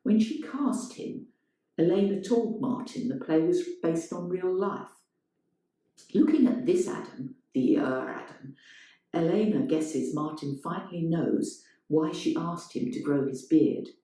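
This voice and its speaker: A woman's voice: lowish voice, animated, unhurried, dark tone. A woman reading a scripted story as dramatic narration. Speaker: reader of a play-like story. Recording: very echoey, reverberant room.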